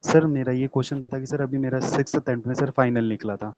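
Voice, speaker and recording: A man's voice: mid-range voice, fairly even intonation, quick pace, noisy background. A young man with an Indian accent asking a question on a call, very deferential, addressing the listener as sir over and over. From Rajasthan, India. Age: young adult. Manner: deferential, says sir constantly. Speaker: webinar caller, accountancy candidate.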